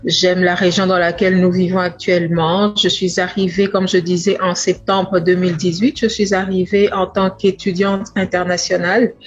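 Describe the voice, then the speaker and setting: A young woman from the Democratic Republic of the Congo with a Congolese accent, giving a measured personal testimonial with some halting repeats. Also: on the low side. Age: young adult. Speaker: entrepreneur, grocery store owner. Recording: dull lo-fi sound, faint music.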